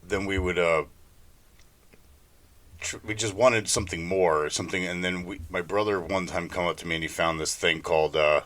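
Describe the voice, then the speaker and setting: A man with a low-pitched voice and a bright, forceful edge co-hosts a podcast. He chats informally, telling anecdotes in loose, unscripted phrases. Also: steady tone, normal speed. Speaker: podcast co-host. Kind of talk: casual anecdotal podcast chat.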